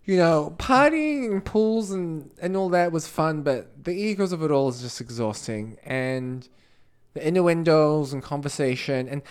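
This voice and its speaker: A man's voice: fairly high pitch, animated, a little slow, clear, resonant tone. A man from Tonga co-hosting a podcast in casual chat, with a playful, campy, chatty manner. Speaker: podcast co-host.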